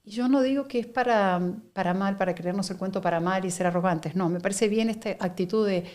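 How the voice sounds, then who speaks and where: A middle-aged woman from Argentina with an Argentine Spanish accent, a guest speaking in a measured, reflective interview manner. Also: medium pitch, darkish tone. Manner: reflective, measured, with frequent fillers and laughs. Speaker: guest, company director. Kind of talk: conversational interview.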